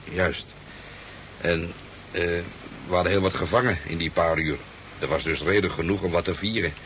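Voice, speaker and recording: An easygoing fisherman, a garrulous eyewitness in a 1970s radio drama. His voice is low and he rambles hesitantly as he spins his story. The recording is dull, with faint noise behind it. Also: fairly even intonation, moderate pace, a bit bright. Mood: hesitant, chatty. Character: talkative, easygoing sport fisherman, a boozy eyewitness.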